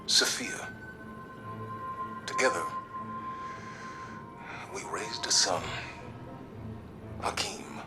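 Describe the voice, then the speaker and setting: An actor playing a stoic, weary veteran commander in a video game, speaking in a measured, solemn and formal way. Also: male voice, on the low side. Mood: wistful. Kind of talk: dramatic video game cutscene acting. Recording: music under the voice.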